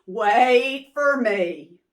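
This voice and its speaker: A woman's voice: a little high, expressive, extremely slow, pitched above the performer's own voice. A woman voicing a jovial male sheep who bellows, in a children's storybook read-aloud. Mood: loud, eager.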